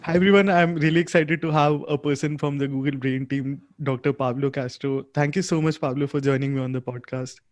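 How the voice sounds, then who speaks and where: A man's voice: a little high, steady tone, fairly quick, hardly any pauses. A man hosting a podcast, reading an upbeat scripted intro and asking interview questions. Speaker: podcast host.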